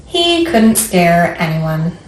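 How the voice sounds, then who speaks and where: A woman's voice: lowish voice, big pitch swings, takes it slowly, recorded from a distance. A woman librarian leading a children's storytime, speaking simply and encouragingly to young listeners and reading aloud. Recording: roomy echo, faint background noise.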